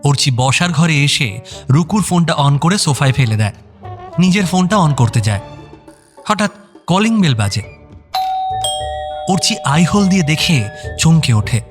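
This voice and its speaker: A man's voice: fairly high pitch, very animated, unhurried, long pauses, music in the background. A man narrating an audio story in a scripted, dramatic style.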